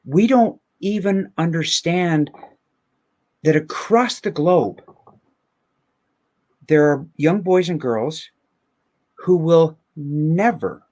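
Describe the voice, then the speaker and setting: A man's voice: on the high side, takes it slowly, pauses often, a little mellow. A man speaking reflectively and conversationally, with earnest emphasis and rhetorical questions, as a guest on a livestream.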